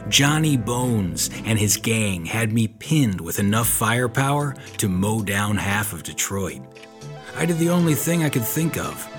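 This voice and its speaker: A wisecracking American tough guy telling his own story in audio-drama noir style. His low voice is wry and hard-boiled, with clear music behind it. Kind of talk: noir first-person narration.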